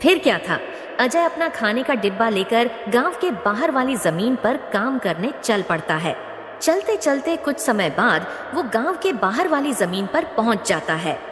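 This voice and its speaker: A woman's voice: fairly high pitch, sing-song, moderate pace. A woman narrating a scripted cartoon moral story as its storyteller. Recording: echoey, long reverb.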